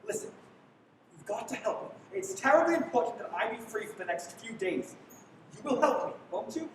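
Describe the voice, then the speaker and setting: A woman acts an innocent young fugitive in a radio play, polite yet urgent. The voice is fairly high and comes from a distance in an echoing room with a faint rumble. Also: very animated, brisk. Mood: pleading. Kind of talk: live radio play acting. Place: school live stage performance. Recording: roomy, distant mic, faint rumbling noise.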